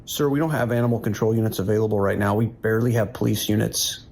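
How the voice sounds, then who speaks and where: An actor playing a weary, steady New York police dispatcher in an audio drama, speaking in a measured, procedural way. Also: male voice, on the low side, vocal fry. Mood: calm, procedural.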